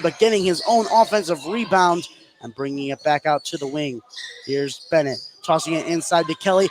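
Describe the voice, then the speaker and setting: A man with a slightly high voice calls basketball play-by-play for radio. He tracks the action in short, clipped phrases. Clear gym noise runs behind him throughout the live broadcast. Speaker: play-by-play sports announcer. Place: indoor high school gym. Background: clear game noise.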